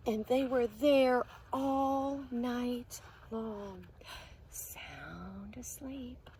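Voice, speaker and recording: A woman reading a picture book aloud to preschoolers as their teacher, expressive and sing-song, often hushed, pausing to explain words and ask questions. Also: fairly high pitch, a little hiss or hum. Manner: animated, asks questions and explains words to children.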